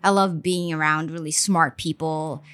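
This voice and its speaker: A woman investor giving a candid, reflective podcast interview, often pausing with ums. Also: deep voice, fairly even intonation, a bit bright, clean tone. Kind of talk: conversational interview. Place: studio interview.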